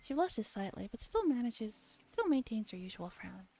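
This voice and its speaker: A woman softly reading a visual novel aloud in a relaxed ASMR style, with asides to her chat. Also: on the high side, normal speed, creaky. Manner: soft, gentle delivery. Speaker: streamer.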